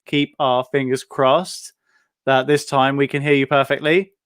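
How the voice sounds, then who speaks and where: Young man, host, video-call interview, enthusiastic, chatty, smooth voice, dry sound. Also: mid-range voice, level tone, a little slow, fairly bright tone, no echo. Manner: enthusiastic, chatty, frequent fillers and restarts.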